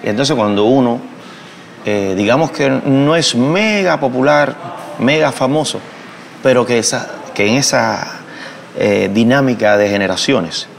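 A man's voice: medium pitch, measured pace, gravelly, a little hiss or hum. A Cuban musician in his thirties answering interview questions in a reflective, hesitant way, with a Cuban Spanish accent, filmed seated indoors by a large window. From Cuba. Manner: reflective, hesitant with fillers and restarts, expressive hand gestures.

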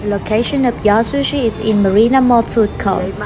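A woman food vlogger with a Vietnamese accent, speaking English as a second language in a voice-over review with simple, friendly phrasing. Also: fairly high pitch. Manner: simple non-native phrasing, slow and friendly. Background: background music.

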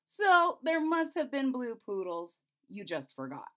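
A woman's voice: high-pitched, wildly swooping pitch, unhurried, voice pushed up, full character voice. A woman voicing a pompous, self-important court chamberlain in a storybook, reading off a long list. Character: pompous fat old male court chamberlain.

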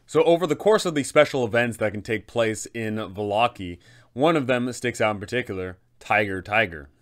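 A man's voice: fairly low pitch, moderate pace. A man in his thirties hosting a guide for game masters, explaining things in an emphatic, methodical way. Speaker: host, game master giving advice. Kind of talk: explanatory guide to a tabletop adventure.

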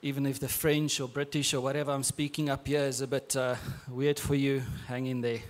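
A man in his thirties with an Afrikaans accent, preaching a sermon on a church stage into a handheld mic in a relaxed, conversational way with restarts. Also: mid-range voice, fairly quick. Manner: conversational, with frequent filler and repeated words. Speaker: pastor. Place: large church stage, indoors.

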